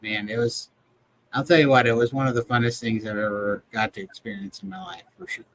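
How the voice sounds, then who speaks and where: A man's voice: mid-range voice, expressive, fairly quick, low-quality recording. A man hosting a live video chat show, talking casually with informal, jokey banter. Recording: clear background noise.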